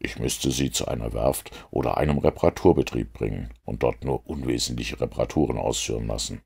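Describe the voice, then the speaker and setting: A shrewd, methodical storybook detective acted out by a man reader speaks in an extremely low voice. It crackles with gravel as he lays out his reasoning deliberately, fully sure of himself. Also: a little mellow, exaggerated voice. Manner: deliberate, explanatory.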